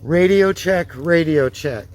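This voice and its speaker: A man presenting a radio test, speaking in deliberate, repeated test phrases. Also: on the high side. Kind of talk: radio check test announcements, demonstration.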